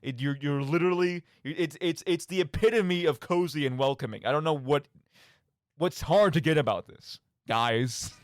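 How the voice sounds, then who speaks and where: A man's voice: fairly high pitch, normal speed, dry recording, clean studio sound. A man hosting a radio show, giving casual solo commentary on games in an emphatic, rambling way, often interrupting himself.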